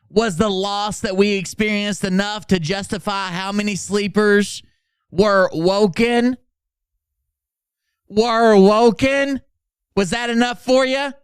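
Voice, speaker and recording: A man playing a melodramatic, self-important political doomsayer, reading posts in a grandiose, over-dramatic way with emphatic pauses. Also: high voice, smooth, dry recording, clean studio sound, pitched above the performer's own voice. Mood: indignant, dramatic.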